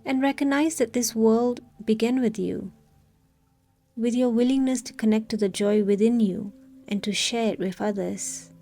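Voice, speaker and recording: A woman leading a scripted guided meditation in a calm, soothing, unhurried manner with long pauses. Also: fairly high pitch.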